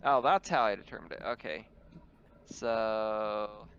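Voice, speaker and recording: A man talking casually as game master, explaining things in a halting way over dull, noisy voice-chat audio. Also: on the low side, a bit bright. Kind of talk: casual tabletop game talk.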